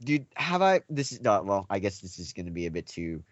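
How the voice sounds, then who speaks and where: Animated man telling stories, lo-fi audio. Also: lowish voice, fairly quick, dry recording. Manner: animated storyteller, acts out reported speech. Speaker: podcast co-host. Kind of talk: casual chat, telling anecdotes.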